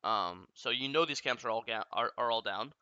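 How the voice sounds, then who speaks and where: A young man coaching over a game replay, blunt and casually profane as he walks through each mistake. His voice is bright and forward, recorded dry with a slightly dull, muffled top end. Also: mid-range voice, clean tone, no echo. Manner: blunt, profane, rapid explaining.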